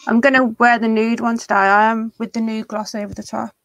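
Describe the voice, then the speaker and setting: A woman co-hosting a live stream, chatting in a relaxed way about makeup. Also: a little high, brisk, dry recording. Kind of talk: casual chat about makeup.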